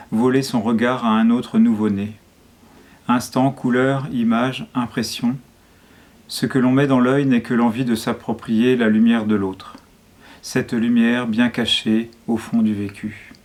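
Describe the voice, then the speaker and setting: A man reads poetry aloud at a medium pitch. His delivery is solemn and measured, set out in short phrases, with very little rise or fall in the melody. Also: a little mellow. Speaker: poet reading a poem. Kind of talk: poetry reading.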